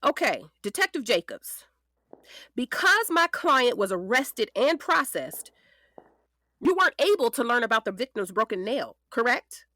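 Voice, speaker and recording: A woman playing a sharp, determined defense attorney in an audio drama, sarcastic yet caring, with pointed theatrical delivery. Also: a little high, very animated, measured pace, dry recording, heavily acted. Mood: controlled, probing.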